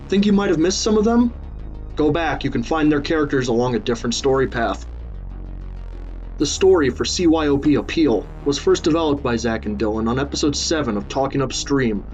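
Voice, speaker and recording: A man's voice: mid-range voice, a little mellow. A man reading scripted podcast credits and promotional notes as an announcer. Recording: dull lo-fi sound, music underneath. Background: background music.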